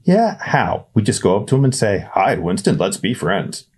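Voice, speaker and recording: A man's voice: fairly low pitch, big pitch swings, normal speed, a little mellow, slightly rough, pitched above the performer's own voice. A man voicing a skeptical schoolboy who talks in a snappish, scoffing way.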